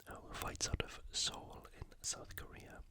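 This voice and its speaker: British accent, breathy whisper, hushed and unhurried, ASMR video host, sports preview style. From United Kingdom. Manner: soft, hushed, unhurried delivery.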